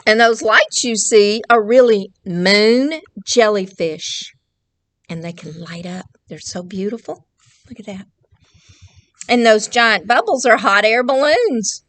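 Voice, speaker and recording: Slow-paced older woman reading to children. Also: medium pitch, a bit bright. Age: 60s. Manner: questioning, explains simply to young listeners. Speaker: children's librarian reading at storytime. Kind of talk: children's picture-book read-aloud with asides. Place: indoors, small decorated library room.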